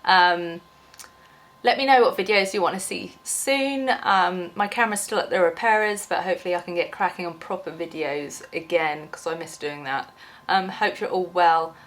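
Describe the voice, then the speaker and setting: A woman's voice: on the low side, normal speed, fairly bright tone. A young woman with a cold, chatting in an unscripted, rambling vlog, full of ums and restarts. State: has a cold, losing her voice. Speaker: small YouTuber.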